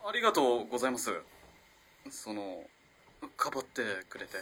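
A man's voice: medium pitch, moderate pace, husky, full character voice. A man playing a young student in a radio drama, flustered and evasive, politely stammering and hesitant. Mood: sheepish. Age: young adult. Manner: stammering, hesitant, excitable when cornered. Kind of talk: Japanese radio drama acting.

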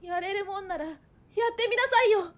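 A woman playing a defiant, tearful young female hostage in a radio drama, shrill and emotional. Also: fairly quick, very noisy.

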